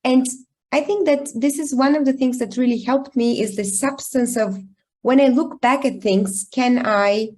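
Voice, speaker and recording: A woman's voice: high-pitched, a little mellow. Reflective woman. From Bulgaria. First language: Bulgarian. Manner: reflective, thoughtful, with frequent pauses and ums. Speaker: guest speaker, founder. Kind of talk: candid personal storytelling in an online talk. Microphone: video call.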